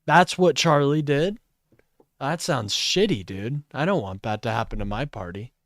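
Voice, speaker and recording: A man streaming live, reacting to a clip with blunt, profane, sarcastic commentary and a fairly high voice. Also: expressive, moderate pace, no room sound, clean studio sound. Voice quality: says his voice is high-pitched. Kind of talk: casual political commentary.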